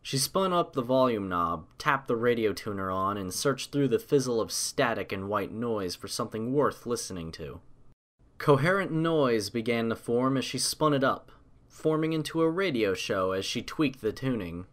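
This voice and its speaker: A man's voice: medium pitch, animated, normal speed, a bit bright. A man reading a scripted story aloud as an audiobook narrator.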